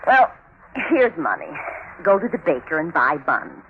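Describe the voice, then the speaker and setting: An actress in a 1950s radio drama playing a blunt, scornful, long-suffering working wife with a curt, scolding manner. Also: female voice, low voice, wildly swooping pitch, a little slow, full character voice. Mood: grudgingly softening. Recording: tinny, noisy 1950s radio recording.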